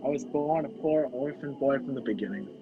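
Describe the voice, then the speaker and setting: A man's voice: mid-range voice, fairly quick, lightly acted. A young man acting a wisecracking, sarcastic fugitive in an amateur radio play. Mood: mock confessional. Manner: dry sarcasm. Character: wisecracking fugitive man, sardonic, handcuffed to a woman. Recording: dull lo-fi video call audio. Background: music.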